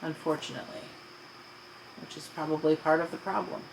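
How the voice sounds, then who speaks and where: Lower-voiced woman with background noise. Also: fairly even intonation, fairly quick, vocal fry, rough recording. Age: adult. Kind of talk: casual conversational discussion with a child.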